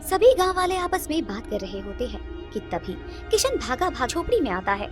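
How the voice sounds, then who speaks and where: A female narrator tells a scripted story. Her voice sits fairly high, and music plays faintly behind her. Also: big pitch swings, moderate pace.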